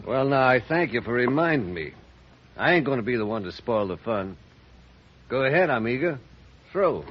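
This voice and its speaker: A cocky young Western outlaw, played by a man, drawls in folksy style with big swings of pitch. It is radio western acting on a dull old recording with clear background noise. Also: very animated, moderate pace, natural voice. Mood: cocky, taunting. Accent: American Western drawl.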